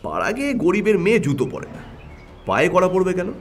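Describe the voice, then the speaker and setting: A man's voice: high-pitched, huge pitch swings, fairly quick. A man voicing a shrewd, decisive detective in an audio story, with a stern, assertive manner. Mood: confident, explaining. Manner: assertive, explanatory.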